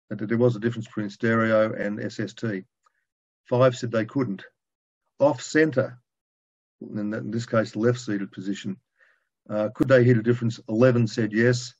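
A man in his sixties giving an informal technical presentation over a video call from a room at home, speaking in a halting, colloquial, conversational way. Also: mid-range voice, darkish tone, slightly rough. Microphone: video call, computer microphone. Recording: dull lo-fi video call audio.

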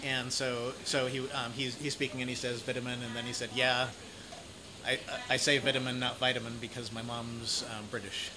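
Halting American man over noisy ambience. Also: mid-range voice, little pitch movement. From Idaho, United States. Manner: halting, rambling. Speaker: interviewer. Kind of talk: casual interview chat. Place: café or restaurant at night. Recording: clearly noisy room ambience.

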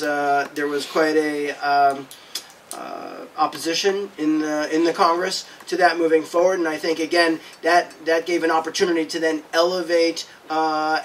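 Emphatic middle-aged man moderating a panel. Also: on the high side. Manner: emphatic, gestures broadly. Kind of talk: panel discussion remarks. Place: conference room. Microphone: table mic. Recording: distant mic, faint noise.

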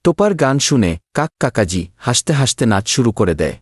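A man's voice: medium pitch, very animated, fairly quick, soft background music. A man narrating a scripted children's story.

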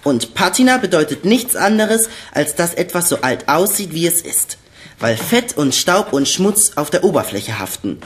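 A woman's voice: on the low side, sing-song, moderate pace. A woman voicing a clever, lecturing boy in a radio play. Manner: lecturing, precise. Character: clever know-it-all boy, sleepy. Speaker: Justus.

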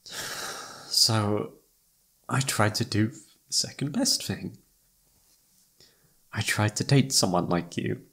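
Young male best friend played by a man, romantic audio roleplay. Husky with vocal fry, intimate, emotional, halting, self-reproachful. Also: on the low side, fairly bright tone, exaggerated voice. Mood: regretful.

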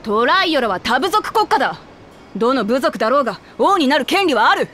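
A woman's voice: sits high, sing-song, fairly quick, pushed and bright, a little hiss or hum, heavily acted. A woman voicing a brash, hearty young lioness warrior in a Japanese video game, speaking bluntly like a tomboy. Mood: firm, defiant. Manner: blunt, rough tomboyish speech.

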